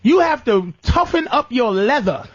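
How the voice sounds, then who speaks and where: A man's voice: very high voice, big pitch swings, normal speed, dry recording. An American man hosting a talk show, giving blunt, profane, domineering advice in an unscripted comedic rant, often cutting in and repeating himself. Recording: slightly dull, lo-fi radio broadcast audio.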